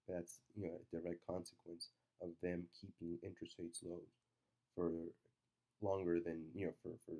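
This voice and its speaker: Low, flat-voiced man with a stuffy cold. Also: creaky, poor audio. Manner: halting, many fillers and restarts. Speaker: market commentator. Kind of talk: casual market commentary.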